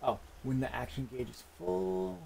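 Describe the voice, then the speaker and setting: A man's voice: medium pitch, animated, a little slow, creaky, darkish tone, a little hiss or hum, poor audio. A man giving casual, impatient commentary as he plays a video game, muttering and swearing. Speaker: gaming video creator.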